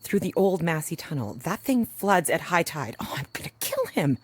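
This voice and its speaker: A woman's voice: lowish voice, sing-song, moderate pace, slight background noise. A woman playing a protective, wry mother and rural doctor in a naturalistic audio drama, dry and plain-spoken. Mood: exasperated, protective.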